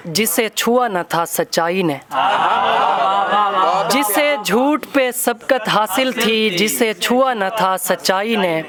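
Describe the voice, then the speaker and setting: Over a very loud crowd, a young man recites verse in a high voice. He takes his couplets slowly and declaims them, returning to lines for emphasis, as a poet does at a live recital. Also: lively intonation. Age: young adult. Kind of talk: live Urdu poetry recital, ghazal couplets. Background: loud audience at a poetry gathering.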